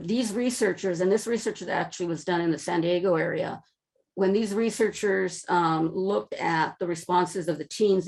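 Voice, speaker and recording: A woman's voice: lowish voice, vocal fry, a little mellow. A woman presenting research to a webinar audience over a video call, talking in a conversational, loose way. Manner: conversational with frequent fillers and restarts. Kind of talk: lecture presentation.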